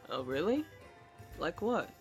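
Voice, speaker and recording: A man acting a courteous, guarded company boss in a fantasy audio drama, speaking in a measured way. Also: fairly high pitch, very animated, faint music behind. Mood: pleased, relaxed. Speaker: voice actor in an audio roleplay.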